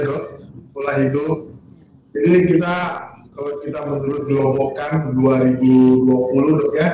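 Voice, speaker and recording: A man, a surgeon guest, explains in a measured way, listing points one by one. His voice sits slightly high, heard from far off. The video call sounds very echoey and has clear background noise. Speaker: oncologist guest. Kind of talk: explaining to a radio audience.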